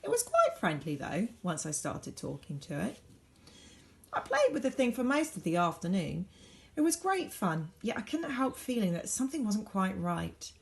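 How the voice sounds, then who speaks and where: A woman reads a children's story with a very lively, swooping pitch and a creaky edge. She leans into key words and leaves deliberate pauses, in the expressive manner of a teacher at storytime. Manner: dramatic, varied emphasis with deliberate pauses. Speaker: teacher reading a storybook aloud.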